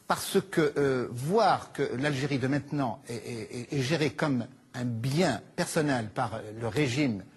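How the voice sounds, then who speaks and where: A man's voice: mid-range voice, unhurried, hardly any pauses. A man of Algerian origin, an author answering interview questions earnestly, with hesitant starts and repeated words. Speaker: author guest. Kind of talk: studio interview.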